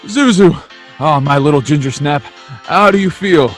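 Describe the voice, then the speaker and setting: A man plays an emotional everyman husband and father in a radio play, his voice fairly high. He is fervent and sincere, tripping over words, with music underneath. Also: expressive, a little slow. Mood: overjoyed. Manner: fervent, stammering, exclamatory. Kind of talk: old-time radio drama acting.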